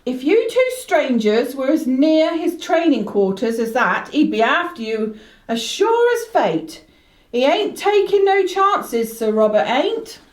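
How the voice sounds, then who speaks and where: A woman's voice: sits high, very animated, unhurried. An actress playing a chatty, deferential country inn landlady in a radio play, folksy and emphatic. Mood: cautioning. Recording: roomy, echoing radio play recording.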